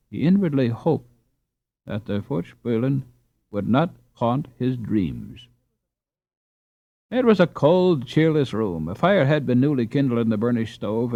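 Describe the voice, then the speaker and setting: A man's voice: medium pitch, warm tone, no echo. Male storybook narrator, dry dull recording. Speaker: audiobook reader.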